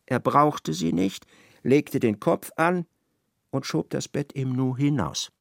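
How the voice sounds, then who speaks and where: A man narrating a literary radio play in a dramatic, expressive, scripted style. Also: a little high, slow pace, creaky, no room sound, clean studio sound.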